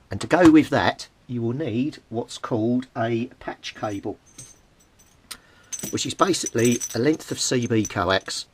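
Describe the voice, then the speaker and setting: A middle-aged British man giving an informal, plain-spoken hands-on demonstration as a hobbyist. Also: fairly low pitch. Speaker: CB radio hobbyist presenting a tutorial. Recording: clearly noisy amateur home recording.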